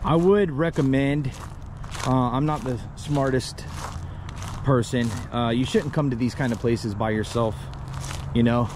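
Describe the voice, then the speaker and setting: A man's voice: mid-range voice, a little slow. A man in his thirties exploring an abandoned mansion on a handheld selfie camera, jumpy and swearing often. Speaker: paranormal vlogger. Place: abandoned mansion and overgrown grounds, in and outdoors. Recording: noisy outdoor ambience.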